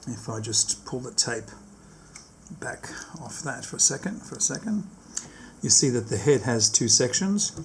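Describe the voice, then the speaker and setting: A man's voice: medium pitch, big pitch swings, measured pace, slight background noise. A man giving a casual hands-on product demonstration, explaining step by step and often rephrasing his instructions.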